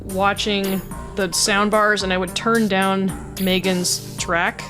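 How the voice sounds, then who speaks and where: Female vlogger, medium pitch, loose chatty behind-the-scenes explainer, faint music bed. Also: level tone, takes it slowly. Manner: chatty, informal, self-deprecating. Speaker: video creator and amateur voice actor. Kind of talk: casual behind-the-scenes chat with vocal demonstrations. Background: background music.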